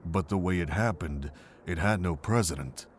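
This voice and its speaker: A man voicing a weary, kindly older monk with a measured, earnest way of telling things, in a fan visual novel. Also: deep voice, breathy edge. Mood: grave, earnest.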